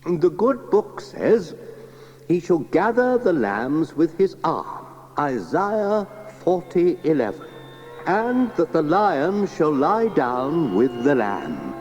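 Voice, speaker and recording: A man acts out a flustered, pious country vicar from a children's storybook. The voice rises high and stretches words slowly, kindly but preachy. It sits on a slightly dull cassette recording. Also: sing-song, darkish tone, in a large hall. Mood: gently pious. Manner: hesitant, drawn-out, preachy. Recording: dull cassette transfer with music.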